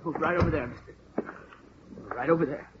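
A man's voice: a little high, brisk, close to an everyday voice. An actor playing a frightened, threatening teenage boy in a vintage radio drama, with a strained, shaky voice and tense, clipped delivery. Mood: threatening, desperate. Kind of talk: 1950s radio drama acting. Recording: muffled, hissy old radio recording.

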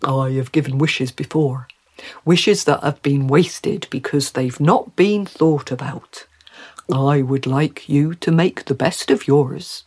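A woman voicing a polite, kindly male talking trout in a storybook, with courteous, old-fashioned, unhurried speech. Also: rumbling low, darkish tone, husky, heavily acted. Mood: gentle, generous.

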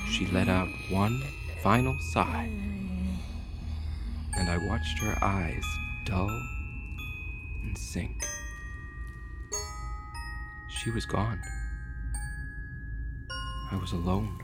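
An actor playing a weary, solemn man who narrates his ordeal slowly and deliberately in an audio drama. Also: low voice, over music. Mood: solemn relief.

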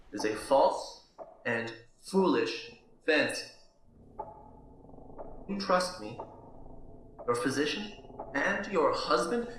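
A condescending physician husband , acted by a man in a period radio play, cajoling and formal. His voice is fairly high and sharply bright. It rings in a very echoey room with faint noise. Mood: pleading, stern. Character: patronizing, controlling physician husband, coaxing his wife.

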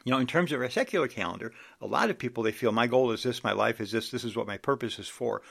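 A man's voice: medium pitch, animated, fast talker, gravelly, a bit bright. A man in his sixties preaching an earnest sermon, reading scripture aloud and exhorting his listeners. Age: 60s.

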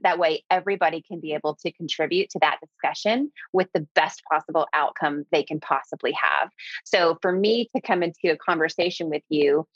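A woman's voice: low-pitched, brisk, dry recording, dull audio. A woman who runs her own business, speaking emphatically in an interview and repeating key words for stress. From southeastern United States. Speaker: guest, business owner. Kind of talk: conversational interview.

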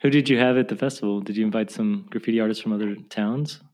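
A woman's voice: extremely low pitch, fast talker, darkish tone. A woman hosting a casual interview in a conversational, chatty style. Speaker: interviewer, host.

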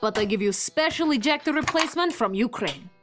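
A burly, effusive junkyard saleswoman pushes her deals in clipped grammar and an Eastern European accent. Her voice is high and very animated, with faint music behind, in a comic audio play. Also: a little fast. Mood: brisk hard sell. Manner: hard-selling, effusive, clipped foreign grammar. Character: warm, burly, pushy female junk parts dealer. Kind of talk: comedy sci-fi audio drama.

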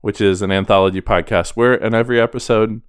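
Guy podcast host, lowish smooth voice, dry close sound, chatty and kinda self deprecating. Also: fairly even intonation, fast talker, dry recording. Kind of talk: casual podcast chat.

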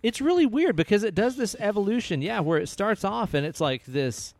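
A man with a quiet voice co-hosting a podcast, talking casually about a movie and swearing freely. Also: sits high. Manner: relaxed, swears casually, drawn-out emphasis. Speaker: podcast co-host.

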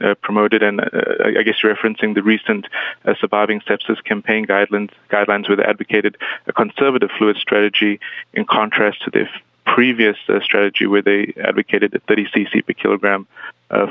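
A man's voice: fairly low pitch, creaky, no echo. A man hosting a podcast interview in a polite, formal way with some fillers. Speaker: podcast host and editor. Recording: tinny phone-like line, dry.

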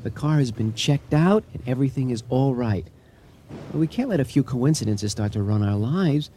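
An actor in a radio drama playing an anxious husband who tries to stay rational and reassuring. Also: male voice, mid-range voice, lively intonation, normal speed, warm tone, natural voice. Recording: slightly noisy 1980 radio drama recording.

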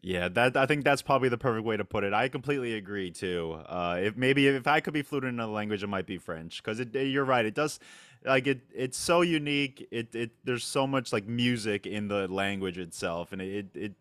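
Male host, mid pitch, rambling questions, dry close-miked sound. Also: brisk, a bit bright, clean tone, dry recording. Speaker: interviewer. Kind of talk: casual podcast interview.

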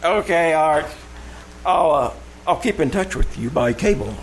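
An actor playing a slow-witted, good-natured oaf in old-time radio comedy, speaking in a dopey, halting, childlike way. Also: male voice, fairly high pitch, expressive. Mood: pleased, earnest. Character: slow-witted, good-natured oafish man, earnest and simple. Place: radio theater stage. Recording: noisy live stage recording.

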